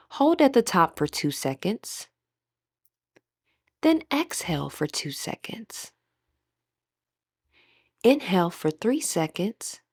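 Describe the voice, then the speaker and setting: A woman with a breathy voice gently leading a guided meditation as an instructor, in measured, scripted phrases. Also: mid-range voice, no room sound, clean studio sound.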